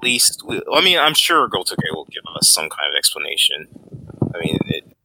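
A man's voice: deep voice, big pitch swings, normal speed, vocal fry, bright, forceful tone, a little hiss or hum, rough recording. A man co-hosting a podcast in casual fan discussion, jokey and often laughing. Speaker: podcast co-host.

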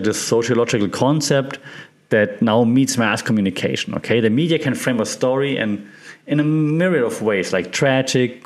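Male podcast host, German accent, mid pitch, informal explainer, halting and conversational, often checks in with the listener. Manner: conversational, halting, repeats words, checks in with "right?".

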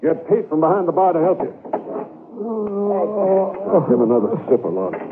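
Terse Western sheriff, noisy radio sound. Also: male voice, fairly high pitch, big pitch swings, moderate pace. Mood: commanding. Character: steady, commanding Western sheriff, terse and unruffled. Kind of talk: old-time radio Western acting. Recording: noisy, narrow-band 1970s radio recording.

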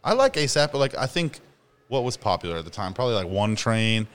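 A man's voice: fairly low pitch, sing-song, brisk, a bit bright, clear, resonant tone. A young man in casual, profane podcast banter, talking bluntly and full of slang. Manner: blunt, slangy, swears freely. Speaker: podcast co-host.

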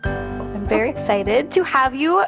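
A woman's voice: high voice, big pitch swings, unhurried, vocal fry, loud music behind the voice. A woman from Canada hosting a podcast as a life coach, talking enthusiastically and laughing often. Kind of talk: podcast interview.

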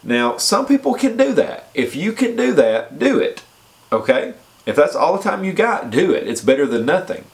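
A man's voice: on the high side, very animated, moderate pace. A man with a head cold, sounding congested, giving casual fitness advice in a chatty way and often checking in with the listener. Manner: chatty, repeats points and checks in with "okay?". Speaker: fitness vlogger. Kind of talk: casual advice talk to camera.

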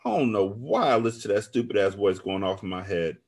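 A man's voice: fairly low pitch, lively intonation, brisk, breathy edge. A man voicing a woman in an audiobook drama, pleading and placating as she talks to her friend. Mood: anxious pleading. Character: guilt-ridden woman narrator pleading with her angry friend.

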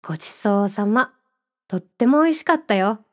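A woman playing a gentle, dreamy young narrator in a scripted radio drama, with a soft, reflective storytelling manner. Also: medium pitch, lively intonation, moderate pace, natural voice. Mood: warm, curious.

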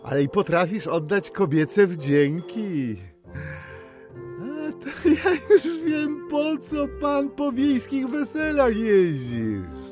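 An actor playing a jovial, teasing older apothecary and father in a period radio drama, with theatrical, drawn-out delivery. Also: sits high, sing-song, music in the background, exaggerated voice. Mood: amused, teasing.

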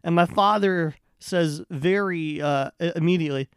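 Clear, higher-voiced man rambling on a podcast. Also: normal speed, dry recording, studio quality. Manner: rambling, stumbling storytelling. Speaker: podcast host and stand-up comedian. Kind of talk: casual solo podcast monologue.

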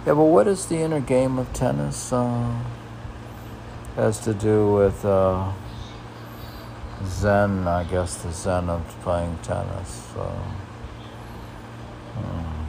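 Slow, gravelly low-voiced man. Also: fairly even intonation, noisy background. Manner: musing, halting, chuckling at his own remarks. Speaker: poet reading his own work. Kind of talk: poetry reading with rambling casual asides.

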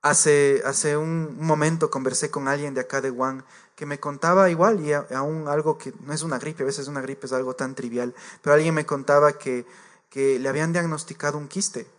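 A man's voice: on the high side, steady tone, moderate pace. A man preaching a sermon to a congregation, earnest and direct, repeating his points and addressing the listeners as believers.

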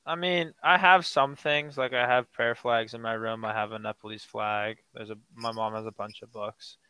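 Deadpan teenage male guest. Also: mid-range voice, moderate pace, smooth, no room sound, rough recording. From United States. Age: teens. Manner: deadpan, dry humor. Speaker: podcast guest. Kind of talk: casual comedic interview.

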